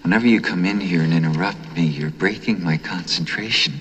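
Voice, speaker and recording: An actor playing an irritated, condescending man who speaks in a stern, deliberate way. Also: deep voice, muffled recording, close to an everyday voice. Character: irritated, controlling man demanding no interruptions. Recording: very noisy, long echoing reverb.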